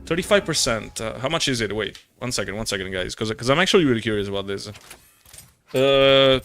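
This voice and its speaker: A man's voice: medium pitch, lively intonation, fairly quick, fairly bright tone, faint music behind. A young man chatting casually on a gaming live stream, rambling and slangy, playfully exasperated and prone to swearing. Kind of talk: casual live-stream chat while playing.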